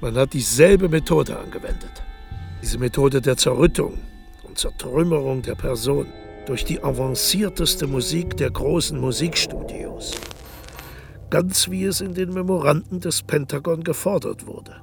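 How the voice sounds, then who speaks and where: An actor playing a vain, sardonic aging dictator in a radio play, mocking and grandiose, sinking into low sneering murmurs. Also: male voice, unhurried, gravelly, music in the background. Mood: cynical. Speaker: title character in a radio play.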